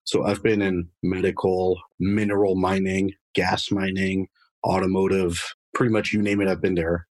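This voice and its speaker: A man's voice: deep voice, flat delivery, moderate pace, a little mellow, dry recording. A man in his thirties from France, a marketing director speaking as a podcast guest. He talks matter-of-factly and rattles through lists of his experience. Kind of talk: conversational interview.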